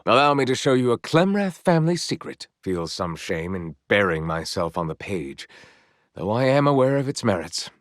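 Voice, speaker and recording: An actor voicing a courteous, earnest and gently proud young knight in a video game, with formal, eloquent phrasing. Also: male voice, medium pitch, dry recording, studio quality. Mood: courteous, composed. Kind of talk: video game character voice lines.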